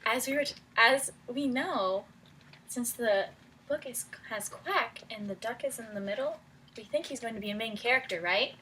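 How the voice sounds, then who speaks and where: A woman with a bright, fairly high voice reads a children's storybook aloud like a teacher. She is encouraging and often breaks off to put questions to her young listeners. There is noticeable noise in the room behind her. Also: normal speed. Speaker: teacher reading a picture book aloud to children.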